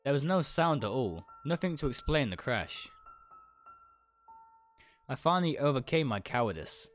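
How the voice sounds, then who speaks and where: A man's voice: mid-range voice, sing-song, moderate pace. A man livestreaming a dramatic first-person read-aloud of a visual novel, stumbling over words now and then and correcting himself. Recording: music behind the voice.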